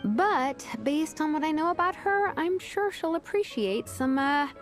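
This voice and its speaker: A wry, deadpan craftswoman. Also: high voice, measured pace, soft background music. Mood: fond. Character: dry, practical young woman craftswoman, reluctant vice captain. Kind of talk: video game voice acting.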